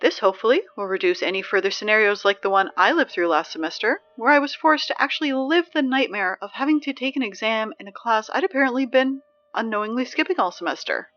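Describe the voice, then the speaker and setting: Audio drama: woman playing a nervy, sardonic schoolgirl on morning announcements, highish pitch, self-interrupting, slightly dull sound. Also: fast talker, soft background music, close to an everyday voice. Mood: wry, grudging. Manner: rambling, sardonic asides, hesitant self-interruptions. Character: anxious, wry high school girl reading morning announcements.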